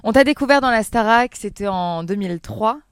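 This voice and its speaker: A woman hosting an interview podcast, asking brisk friendly questions with occasional fillers. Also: medium pitch, lively intonation, smooth, no echo, clean studio sound. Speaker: podcast host.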